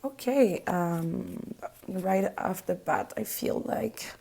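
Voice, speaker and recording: Soft, gravelly French-accented woman. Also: on the low side, slow pace. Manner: hesitant, searching for words with many fillers. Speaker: tarot reader. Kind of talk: spoken tarot reading.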